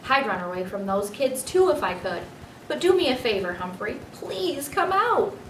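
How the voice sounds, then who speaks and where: A woman voicing an earnest father and school principal in a storybook, firm but kind, with expressive, emphatic delivery. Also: a little high, moderate pace, voice pushed up. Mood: pleading, anxious. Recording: roomy, distant mic, faint noise.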